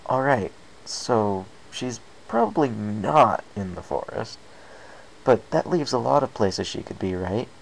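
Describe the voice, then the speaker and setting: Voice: mid-range voice, big pitch swings, measured pace, gravelly, a little hiss or hum, low-quality recording. A performer voicing an earnest young male pegasus pony in a storybook reading, anxious and a little hesitant. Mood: uneasy.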